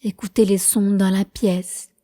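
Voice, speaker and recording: A woman guides a meditation at a very unhurried pace. Her voice is soft-edged and mellow, at a medium pitch. She gives each instruction in a calm, measured, soothing manner. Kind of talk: slow guided prenatal meditation narration.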